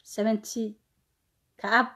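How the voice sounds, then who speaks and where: A woman's voice: a little high, little pitch movement, unhurried, pushed and bright. A woman in her thirties from Somalia with a Somali accent, giving an informal, taunting, repetitive rant to camera. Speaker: vlogger. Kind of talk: informal mocking rant to camera, mixing Somali and broken English. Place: small plain room indoors.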